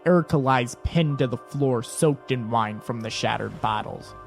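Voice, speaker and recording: A man's voice: mid-range voice, normal speed, creaky. A man reading dramatic scripted narration for an audio drama. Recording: loud music and sirens behind.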